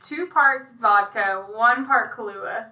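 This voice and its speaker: A woman's voice: a little high, takes it slowly, sharp tone, low-quality recording. Giggly woman, short cheerful replies. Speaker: podcast guest. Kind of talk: casual chat.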